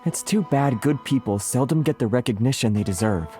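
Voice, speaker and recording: A meek, polite townsman from an animated game, voiced by a man. His middle-pitched voice is earnest and very animated. Music plays clearly behind him. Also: brisk, close to an everyday voice. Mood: grateful, earnest. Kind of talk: animated video game voice acting.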